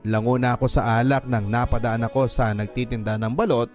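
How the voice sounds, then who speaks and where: A man's voice: medium pitch, steady tone, fairly quick, darkish tone. Male radio drama narrator, mid-range voice, sentimental first-person storytelling over a music bed.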